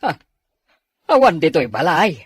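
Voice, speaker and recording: Drama role: worried middle-aged father played by a woman. Very deep for the performer, husky, expressive pitch movement. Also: a little slow, no room sound, studio quality, heavily acted. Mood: concerned. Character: concerned father of a family, middle-aged man. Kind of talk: Ilocano family drama acting.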